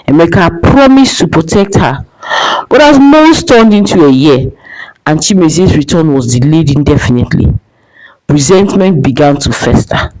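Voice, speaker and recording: A woman's voice: low voice, darkish tone, low-quality recording. A woman narrating a dramatic folktale as a storyteller, in a scripted style.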